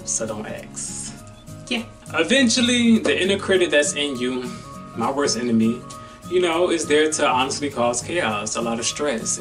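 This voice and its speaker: A man's voice: medium pitch, recorded from a distance. A young man, a DJ, talking casually and animatedly to camera, calling his viewers y'all. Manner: animated, chatty, says y'all a lot. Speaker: DJ and vlogger.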